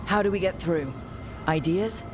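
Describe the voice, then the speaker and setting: A woman's voice: lowish voice, a little slow, lightly acted. An actress playing a decisive female hero who leads her team with direct commands in a video game cutscene. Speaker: team leader. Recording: game audio with music.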